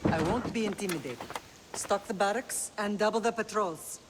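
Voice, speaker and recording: A woman's voice: mid-range voice, normal speed, heavy background noise, lightly acted. Stern, authoritative woman ruler played by an actress. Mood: stern, resolute. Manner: authoritative, decisive. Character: stern, resolute female ruler of an island town. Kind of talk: dramatic video game acting.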